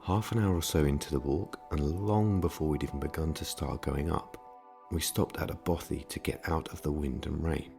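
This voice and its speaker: Very deep, gravelly male podcast host. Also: fairly even intonation, normal speed, dark tone. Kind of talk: scripted personal narration. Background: music.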